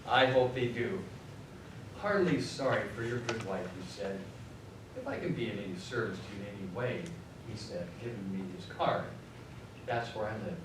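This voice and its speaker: An actor playing a gentle, grieving father in a live radio drama, tender and halting. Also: on the low side, lively intonation, close to an everyday voice. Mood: tender, brave. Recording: echoey live hall, distant mic, noisy.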